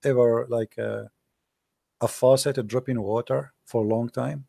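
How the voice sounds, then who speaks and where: A man's voice: medium pitch, steady tone, unhurried, vocal fry, dark tone. A man hosting a call-in show, earnestly advising a caller in slightly non-native English. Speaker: host taking calls.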